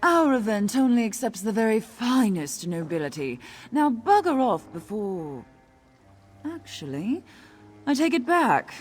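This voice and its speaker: Snooty, sarcastic event organizer. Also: female voice, on the high side, very animated, a little mellow, slightly rough, faint music behind, heavily acted. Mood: haughty. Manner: sarcastic, condescending, brisk. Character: snobbish haughty woman event organizer. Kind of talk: video game dialogue.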